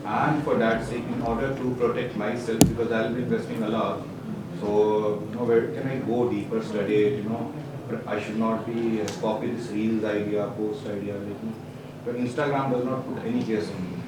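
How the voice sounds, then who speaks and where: A man in a conference audience, asking a question in a halting, searching way and often saying you know. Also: fairly low pitch, level tone. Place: large conference hall. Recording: very echoey hall, distant, loud background noise.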